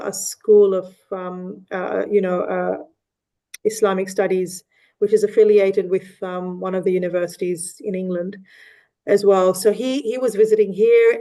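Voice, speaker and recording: Woman, unhurried community speaker, mellow flat delivery, frequent restarts. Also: mid-range voice. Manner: hesitant, many fillers and restarts. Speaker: mosque community member. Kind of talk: casual discussion. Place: online meeting.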